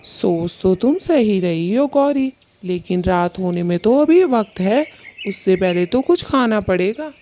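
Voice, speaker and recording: A woman's voice: medium pitch, lively intonation, a little slow, mellow, close to an everyday voice. A woman voicing a patient, mild male bird husband in a cartoon, reasoning and placating as he talks. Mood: agreeable. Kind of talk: Hindi cartoon story dialogue.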